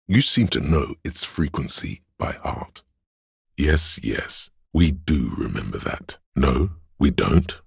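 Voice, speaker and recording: A man's voice: sing-song, takes it slowly, no echo, studio quality, heavily acted. A man voicing a pedantic, know-it-all encyclopedic inner voice for a game, with erudite, dryly wry explanatory narration. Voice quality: very deep, gravelly, husky.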